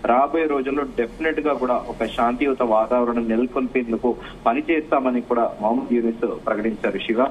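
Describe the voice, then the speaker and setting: Dull-sounding male news correspondent, halting. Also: medium pitch. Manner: halting delivery with repeated filler sounds. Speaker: news correspondent reporting live. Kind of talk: live unscripted news report. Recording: dull, muffled live link with faint noise.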